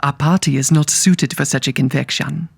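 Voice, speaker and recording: A man's voice: fairly high pitch, steady tone, moderate pace, vocal fry. A man voicing a dignified, poetic zebra with formal, sing-song phrasing in an audio drama. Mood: solemn.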